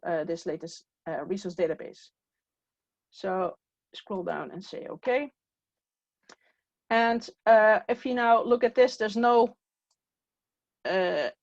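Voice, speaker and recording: A woman whose first language is Dutch walks through a software screen step by step, pausing on "uh" as she clicks. Her voice sits at a middle pitch and comes through a dull, lo-fi recording with a dry, close sound. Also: no room sound. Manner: step-by-step, with frequent "uh" fillers. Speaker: software trainer. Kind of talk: screen-share tutorial walkthrough.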